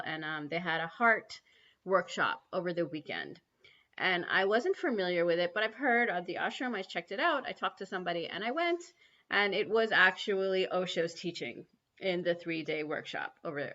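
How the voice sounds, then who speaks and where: New woman, spiritual livestream host, earnest and halting, bright tone, muffled stream audio. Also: lowish voice. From New York City. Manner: earnest, many ums and restarts. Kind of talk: spiritual livestream talk and guided prayer. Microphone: computer mic on a livestream.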